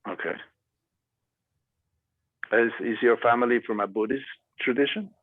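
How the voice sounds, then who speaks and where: A man speaking as a guest to a class and answering student questions, with many pauses and fillers. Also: medium pitch. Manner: hesitant, many fillers and restarts. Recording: dry tinny phone-like audio.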